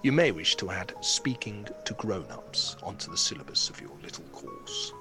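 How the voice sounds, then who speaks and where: A man's voice: deep voice, lively intonation, normal speed, over music. A man playing a pompous, prickly beekeeper in an audio drama, pedantic and condescending. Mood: huffy. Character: pompous, prickly male beekeeping club member. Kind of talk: naturalistic audio drama acting.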